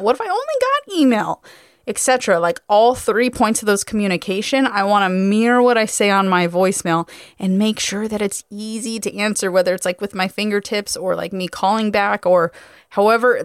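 A woman with a medium-pitched voice hosts a solo coaching podcast. Her delivery is conversational and emphatic, full of casual slang. The recording is dry, with very little room sound. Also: dry recording. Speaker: podcast host and car sales coach.

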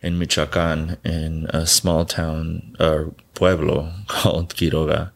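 A man's voice: a bass voice, flat delivery, slow, creaky. A man from Southern California, a guest in an interview, telling his life story bluntly and candidly, swearing freely and laughing often.

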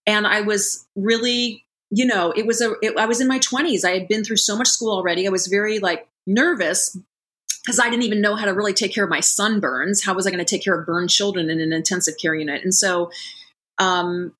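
A woman's voice: mid-range voice, fairly quick, fairly bright tone. A woman in her forties from Ohio, a podcast guest telling her career story in a chatty way with many restarts. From Columbus, Ohio. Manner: chatty, frequent restarts and fillers. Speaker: guest, lactation company founder. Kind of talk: conversational podcast interview.

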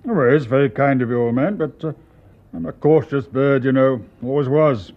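An actor playing a cautious, genial English gentleman in a radio drama, polite and a little hesitant. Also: medium pitch, normal speed, narrow, tinny audio, close to an everyday voice. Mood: curious, wary.

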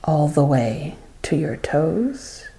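Female meditation guide, low and mellow, unhurried devotional delivery with phrases that fade softly. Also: big pitch swings, vocal fry.

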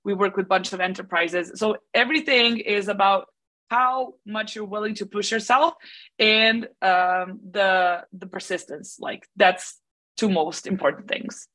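A woman's voice: medium pitch, fairly even intonation, a little slow, bright, forceful tone. A woman from Serbia in her thirties with a Serbian accent, talking as a podcast guest in an earnest, chatty way with many fillers. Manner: talkative, earnest, many fillers like "you know" and "like". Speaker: podcast guest, business owner. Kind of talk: casual interview talk.

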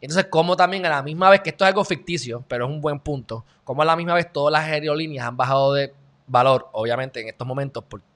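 A man with a Puerto Rican accent talks through the day's news in a loose, joking way, full of asides. His voice sits a little on the high side. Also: fast talker, fairly bright tone. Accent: Puerto Rican Spanish. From Puerto Rico. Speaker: video host. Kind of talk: casual news commentary.

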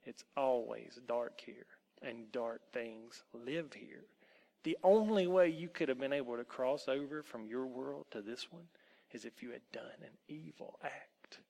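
A man voicing a cold, imperious young wolf-woman in a storybook tale, speaking slowly and ominously. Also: medium pitch, gravelly, a little mellow. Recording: long hall-like reverb.

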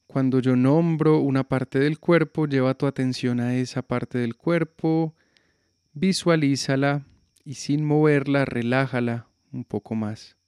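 A man guiding a yoga nidra relaxation with slow, calm, soothing instructions. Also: medium pitch, smooth, no room sound, studio quality. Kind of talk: guided relaxation meditation.

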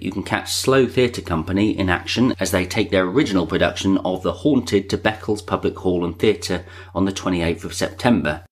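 Low-pitched man hosting a podcast. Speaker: podcast host and interviewer. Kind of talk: chatty podcast presenting.

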